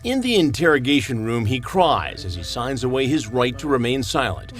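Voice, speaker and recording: A man's voice: medium pitch, very animated, normal speed. A man giving scripted narration for a TV crime documentary, dramatic and wry. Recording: TV clip with background music.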